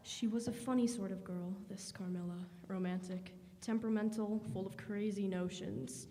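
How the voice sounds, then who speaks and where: A woman's voice: mid-range voice, fairly even intonation, moderate pace, mellow, a little hiss or hum, lightly acted. A woman reading scripted narration and announcements for a radio drama. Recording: echoey hall.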